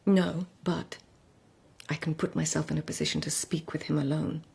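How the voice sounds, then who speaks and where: An actress playing a poised, anxious court lady devoted to her Empress, speaking in a measured, formal, guarded way in a radio drama. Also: low voice, level tone, creaky, a little mellow, slightly rough, exaggerated voice. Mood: anxious, intent.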